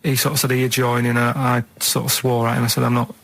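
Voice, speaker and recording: A man's voice: mid-range voice, completely flat delivery, quick pace, creaky, fairly bright tone, no echo. A man co-hosting a radio show, telling rambling anecdotes in a blunt, colloquial, casual way.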